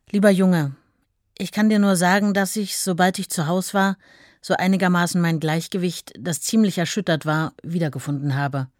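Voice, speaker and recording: Female actor for long-suffering writer's wife in radio drama, steady and reflective, very dry studio sound. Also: fairly low pitch, dry recording, natural voice. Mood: composed, hopeful. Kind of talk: radio play monologue.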